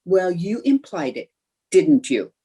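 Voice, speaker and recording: A woman's voice: lowish voice, very animated, takes it slowly, mellow, slight background noise. A woman playing a scheming, stubborn, stagestruck housewife in old-time radio comedy, coaxing and indignant in a theatrical way. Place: remote video-call production.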